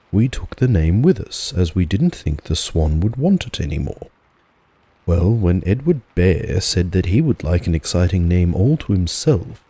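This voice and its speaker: A man narrating a children's storybook as a relaxed audiobook reading. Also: low-pitched, husky, no room sound.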